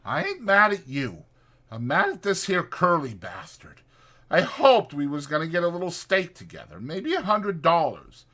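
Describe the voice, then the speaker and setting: A man's voice: on the high side, wildly swooping pitch, moderate pace, pushed and bright, pitched above the performer's own voice, exaggerated voice. A man voicing an irritable, protective ranch hand who scolds brusquely in rural American dialect for a dramatized audiobook. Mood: angry, decisive.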